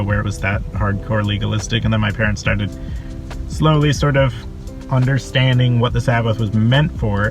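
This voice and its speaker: A young man from Costa Rica, casually telling a personal story in a relaxed way with fillers and soft laughs. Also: lowish voice. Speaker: interviewee. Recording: interview with background music. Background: music.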